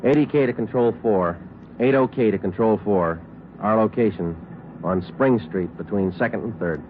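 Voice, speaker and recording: A man's voice: on the low side, normal speed, slight background noise, close to an everyday voice. A man acting a terse, level-headed American police detective who narrates a radio crime drama in a clipped, matter-of-fact way. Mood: deadpan, businesslike. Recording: tinny old radio recording, loud music.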